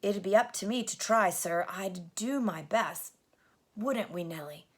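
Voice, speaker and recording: A woman's voice: mid-range voice, measured pace, close to an everyday voice. A woman voicing a humble middle-aged male London cabbie with a Cockney accent, speaking in a homely, earnest, hesitant way. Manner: homely working-class speech, earnest and hesitant.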